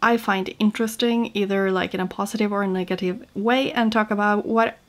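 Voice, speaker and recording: A woman's voice: mid-range voice, steady tone, brisk. A young woman from Norway with a Norwegian accent, chatting casually and informally to camera as a beauty vlogger. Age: young adult. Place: small home room indoors.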